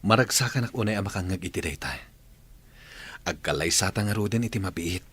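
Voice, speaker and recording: Low-voiced tender Ilocano suitor. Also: male voice, brisk, fairly bright tone, husky. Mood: devoted. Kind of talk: Filipino radio drama acting. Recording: faint background noise.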